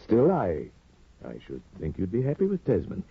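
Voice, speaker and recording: A low-voiced, courtly gentleman judge on a muffled vintage radio recording. He is sly and worldly, sliding expressively through insinuating phrases with deliberate pauses. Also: wildly swooping pitch, exaggerated voice. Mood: sly, probing. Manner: smooth insinuation, courtly, hesitant pauses for effect. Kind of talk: 1950s radio drama acting.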